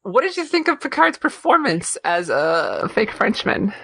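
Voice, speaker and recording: A woman's voice: medium pitch, big pitch swings, measured pace, gravelly, sharp tone, slight background noise, low-quality recording. A woman co-hosting a podcast, talking casually about a TV episode with many fillers and restarts. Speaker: podcast co-host. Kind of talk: casual chat.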